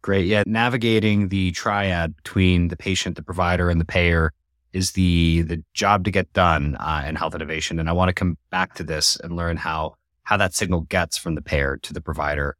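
A man's voice: deep voice, fairly even intonation, normal speed, fairly bright tone, dry recording, studio quality. A man hosting an interview podcast, friendly and fumbling a little with restarts as he asks questions. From Colorado. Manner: friendly, searching for words with restarts. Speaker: podcast host.

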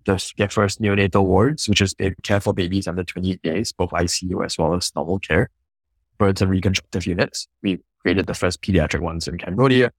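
A man from Singapore, a founder being interviewed, telling his story in a measured, reflective way. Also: deep voice, husky, no echo, low-quality recording. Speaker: founder guest.

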